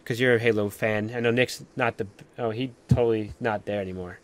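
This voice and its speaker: A man's voice: on the low side, fairly even intonation, brisk. A man hosting a casual live stream chat, talking in a rambling, filler-heavy way with lots of "like". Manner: rambling, peppered with "like". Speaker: host of a live stream panel.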